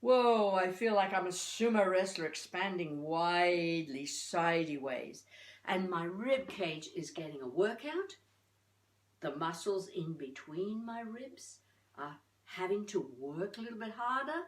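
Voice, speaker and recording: An older woman giving an informal voice-coaching lesson to camera in an expressive, chatty, encouraging way with playful asides. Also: on the low side, a little slow. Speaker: voice coach presenting a tutorial. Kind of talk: informal instructional talk to camera. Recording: echoey room, distant microphone.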